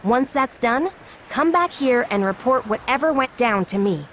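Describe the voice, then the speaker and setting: Casting: breezy yet commanding seafarer woman, video game cutscenes, high and swooping delivery. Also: animated, moderate pace, fairly bright tone, a little hiss or hum. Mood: brisk, encouraging.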